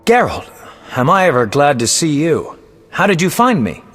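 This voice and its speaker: Casting: vain roguish game bard, theatrical and florid, fairly high voice with swooping melody. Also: male voice, very animated, moderate pace, fairly bright tone, long reverb tail, soft background music. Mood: indignant, pleading. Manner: theatrical, florid, self-important. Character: flamboyant, vain, roguish male poet and bard. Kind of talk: video game voice acting, storytelling.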